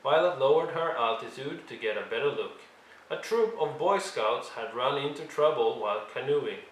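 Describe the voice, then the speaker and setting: A man narrating a picture book for young children in an expressive read-along style, with deliberate, dramatic emphasis. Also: medium pitch. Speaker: storyteller reading a picture book aloud. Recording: roomy echo, faint background noise.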